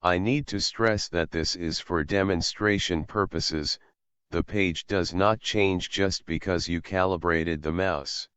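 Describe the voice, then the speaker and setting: A man calmly and methodically walking through a screen-recorded software tutorial as an instructor. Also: deep voice, measured pace, clean tone, no echo. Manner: calm, methodical explaining. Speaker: assistive technology instructor. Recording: slightly dull, dry voice-over.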